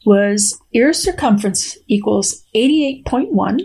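A middle-aged woman speaks as a guest scientist on a show. Her phrasing is precise and deliberate, with a playful edge. She moves between formal reading aloud and plain conversational explanation. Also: fairly high pitch, expressive, a little mellow, slightly rough.

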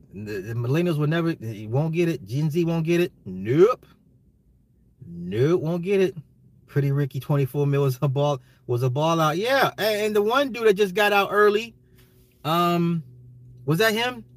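An American man ranting casually on a livestream, emphatic and slangy, repeating his phrases, his voice getting slightly hoarse. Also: fairly high pitch, dry recording. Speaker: livestream host. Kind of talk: casual opinionated livestream rant.

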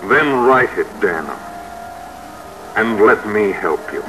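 An actor playing a dark, tormenting spirit of conscience in an old radio drama, speaking in a measured, coaxing, ominous way. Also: male voice, fairly low pitch, animated, music in the background, rough recording. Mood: quiet, insinuating. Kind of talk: old-time American radio drama acting.

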